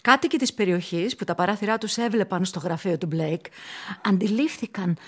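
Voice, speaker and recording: An actress playing an awed, theatrical narrator in a horror radio play, with an incantatory manner. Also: female voice, medium pitch, fairly bright tone, close to an everyday voice. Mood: grave, awed. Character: awestruck female horror narrator turning feverish and possessed.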